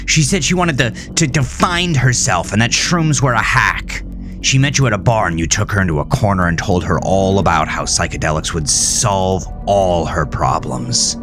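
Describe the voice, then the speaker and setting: A man's voice: on the low side, animated, normal speed, music in the background, natural voice. Man acting out an enraged, grieving husband, anguished. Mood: bitter. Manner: anguished, accusatory ranting. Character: enraged blood-soaked grieving husband, vengeful and accusing. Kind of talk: horror audio drama acting.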